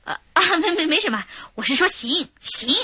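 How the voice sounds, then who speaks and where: A woman's voice: extremely high pitch, expressive, moderate pace, pushed and bright, no echo, exaggerated voice. An actress playing a scheming older woman who poses as a kid's great-aunt, fawning and chuckling as she coaxes and bargains in a radio drama. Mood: wheedling. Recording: slightly dull broadcast audio with faint hiss.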